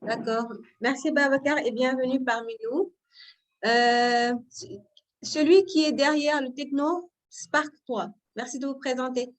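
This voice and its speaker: Female moderator, online session, fairly high voice, courteous. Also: fairly even intonation, a little slow. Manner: polite, hesitant, repeats words. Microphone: video call.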